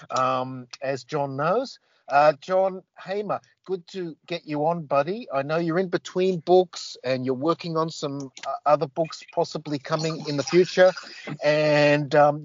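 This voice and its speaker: A man's voice: medium pitch, dry recording. Male podcast host, loose rambling delivery, dull lo-fi dry recording. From Australia. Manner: rambling, many fillers and restarts. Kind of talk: casual podcast chat.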